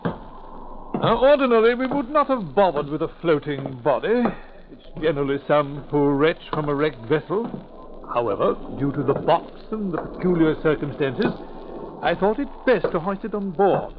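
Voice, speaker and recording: Courteous male ship's officer played by a radio actor, higher-pitched, formal, measured and hesitant, vintage 1940s drama, narrow tinny sound. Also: lively intonation, noisy background, natural voice. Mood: matter-of-fact. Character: courteous, businesslike male ship's officer showing a grim find.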